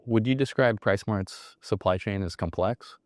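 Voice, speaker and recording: A man's voice: fairly low pitch, normal speed, darkish tone, dry recording, clean studio sound. A man hosting a company podcast interview, prompting his guest with short questions and friendly interjections. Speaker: podcast host, company CEO.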